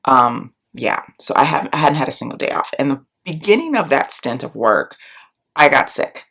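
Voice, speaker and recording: A woman's voice: extremely low pitch, big pitch swings, normal speed, vocal fry, fairly bright tone, slightly rough. A congested woman in her thirties, chatting casually to camera at home while doing her makeup, rambling with lots of ums and likes. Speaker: beauty and book vlogger. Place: small room at home, indoors. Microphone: camera close up, face framing.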